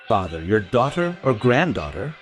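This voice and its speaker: An actor playing a blunt, sardonic police colleague in a crime radio play, asking clipped questions. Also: male voice, mid-range voice, sing-song, moderate pace, faint music behind, natural voice. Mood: sardonic, probing. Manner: dry, sarcastic, clipped questions.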